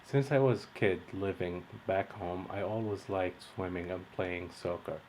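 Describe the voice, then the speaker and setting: A young man from Egypt, a course instructor, reading out a lesson introduction in a measured, steady way. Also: sits low, a little hiss or hum, low-quality recording.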